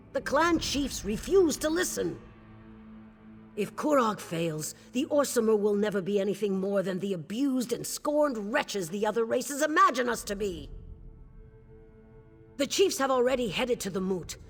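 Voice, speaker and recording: A woman's voice: mid-range voice, gravelly. A woman playing a devoted orc warrior queen in a video game, speaking solemnly, earnestly and with resolve. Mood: urgent, warning. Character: devoted orc warrior queen, king's sworn shield-wife. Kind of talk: scripted video game dialogue.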